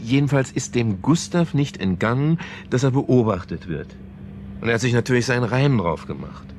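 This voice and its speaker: An actor playing a man who earnestly and haltingly retells a story, in a naturalistic German radio play. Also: medium pitch, darkish tone, slight background noise, close to an everyday voice. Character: man haltingly retelling a novel to a sceptical woman.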